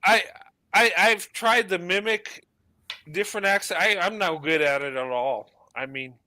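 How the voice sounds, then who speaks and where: A man hosting a casual chat, animated and stammering as he starts his sentences. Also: high-pitched, measured pace, a little hiss or hum.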